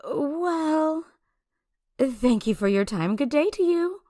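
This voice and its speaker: A woman's voice: high-pitched, expressive, takes it slowly, dark tone, no echo, studio quality. A woman playing a polite, curious, mysterious veiled wanderer in a fantasy audio drama, speaking carefully and formally. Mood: politely evasive.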